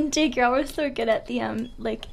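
A woman's voice: on the high side, normal speed, vocal fry, slight background noise. A young woman co-hosting a radio show in casual, giggly banter with playfully dramatic storytelling. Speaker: radio co-host. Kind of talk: casual banter between songs.

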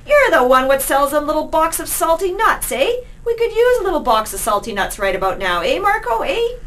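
A woman doing a loud jeering street thug. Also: high voice, wildly swooping pitch, brisk, voice pushed up, heavily acted. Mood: mocking. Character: rowdy male street thug, lewd and jeering.

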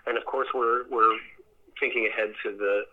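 Muffled, band-limited audio like a radio call carries a man's voice at an average pitch. He speaks conversationally as an interview guest, thinking aloud in rambling answers and doubling back on words as he goes. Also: steady tone, moderate pace. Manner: rambling, thoughtful, repeats words as he searches. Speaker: theater director, interview guest.

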